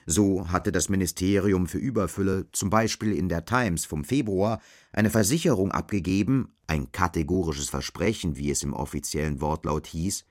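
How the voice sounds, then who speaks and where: A man narrating a literary radio drama, telling a dystopian story in a sober, scripted manner. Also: low-pitched.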